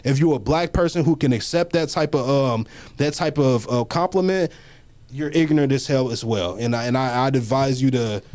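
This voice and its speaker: A man speaks bluntly and with heat on a studio panel. He stacks short statements, repeats himself and slips into slang. His pitch sits in the middle of the male range. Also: normal speed, a little mellow. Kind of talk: casual panel discussion. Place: community media studio.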